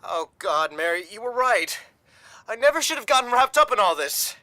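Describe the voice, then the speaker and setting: A man acts out a desperate young gangland man in a radio drama, guilt-ridden and fiercely protective. The voice is bright and earnest, with lively, emotional swings in pitch. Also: moderate pace, dry recording, full character voice. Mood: anguished.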